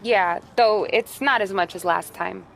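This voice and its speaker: A woman's voice: mid-range voice, unhurried, creaky, noisy background. An actress playing a tough, caring young American woman of the 1930s in a game cutscene, blunt and wry. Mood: warm, casual. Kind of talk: naturalistic video game cutscene acting. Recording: music over the dialogue. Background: music.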